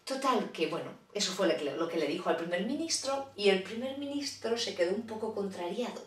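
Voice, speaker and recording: A woman's voice: mid-range voice, animated, fairly quick, echoey room, far from the mic. A woman telling a children's fairy tale in a playful, chatty way, with asides to her listeners. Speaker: storyteller. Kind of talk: children's storytelling.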